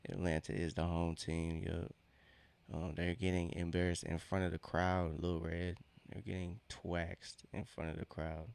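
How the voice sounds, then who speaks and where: A man's voice: sits low, little pitch movement, measured pace, vocal fry, a little mellow, clean tone. A young man with a congested, nasal voice, casually reacting to a basketball game on a live watch-along stream, slangy and repeating himself.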